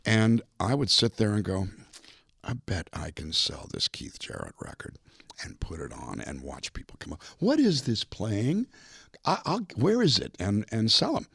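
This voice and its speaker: An older man with a very low, deep voice recorded close and dry, with no room sound. He tells relaxed stories as a singer-songwriter guest and slips into the voices of people he quotes. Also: moderate pace, vocal fry, slightly rough, no echo. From California, US (Mexican border town). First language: bilingual English and Spanish. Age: 70s. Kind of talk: casual interview chat.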